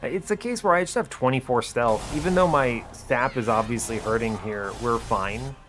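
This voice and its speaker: A man's voice: mid-range voice, moderate pace. A man hosting a gaming video, giving casual play-by-play in short, understated, dry remarks. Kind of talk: casual gameplay commentary. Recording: music behind the voice.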